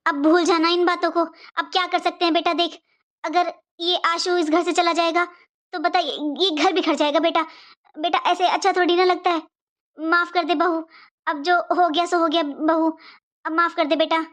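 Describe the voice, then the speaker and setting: A woman's voice: very high-pitched, a bit bright. A woman voicing an older mother-in-law in a cartoon family melodrama, speaking emphatically and repeating herself. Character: distressed older mother-in-law, stern then pleading for family peace.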